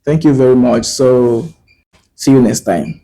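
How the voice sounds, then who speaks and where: Igbo-accented male teacher, mid-pitched, mellow tone, earnest and code-switching. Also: vocal fry. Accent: Nigerian, Igbo. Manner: rhetorical questions and pauses with fillers. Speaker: language teacher. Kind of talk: talking to camera about language.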